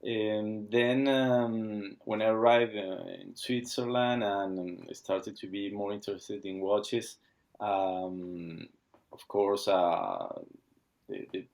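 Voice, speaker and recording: A man, speaking haltingly as he searches for words while being interviewed about founding his watch brand. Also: lowish voice, a little mellow. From Argentina. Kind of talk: casual interview.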